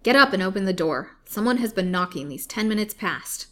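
A woman voicing a sullen, sharp-tongued old wife from a storybook, withering and defiant. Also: fairly low pitch, normal speed, lightly acted. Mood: shrieking.

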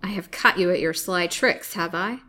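Woman acting a loud, vicious old husband. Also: on the low side, a little fast, natural voice. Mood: sarcastic rage. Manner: mocking, snapping, threatening. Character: vicious greedy old husband, snarling and sarcastic.

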